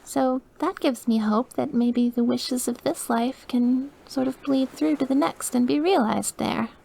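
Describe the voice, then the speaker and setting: A soft, fairly high young female voice performed by a woman actor for an intimate ASMR roleplay. She is a sweet, awkward, terminally ill young woman with an obsessive streak. Her delivery is hesitant and wistful, given to gushing and to breathy murmurs. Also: a little slow, heavily acted, low-quality recording. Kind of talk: ASMR audio roleplay, intimate one-sided dialogue.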